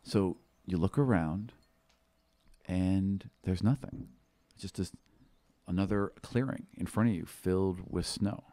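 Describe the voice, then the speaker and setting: Low-voiced man running a tabletop game. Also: unhurried, long pauses, warm tone, no room sound. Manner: casual, conversational, agreeable. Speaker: game master. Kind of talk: improvised narration of a tabletop roleplaying game.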